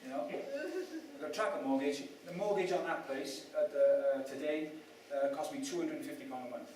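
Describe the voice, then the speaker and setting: A man's voice: a little high, expressive, normal speed, darkish tone, a little hiss or hum. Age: adult. Sex: man. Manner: chatty, self-mocking speaker. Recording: very echoey room, distant mic. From Wales. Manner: chatty, self-mocking, asks the audience questions. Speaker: speaker at a property event. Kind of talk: motivational talk to an audience.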